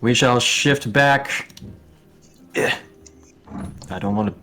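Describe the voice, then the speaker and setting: Slow-paced man running a tabletop game. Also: mid-range voice, sharp tone. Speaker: game master. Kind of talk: tabletop game narration and casual chat.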